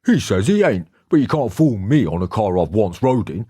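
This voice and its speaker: A man reading aloud who voices a stout, blunt male detective with a husky, folksy manner. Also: fairly low pitch, very animated, normal speed, warm tone, no echo, clean studio sound. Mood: gruff. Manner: folksy, gruff, ungrammatical.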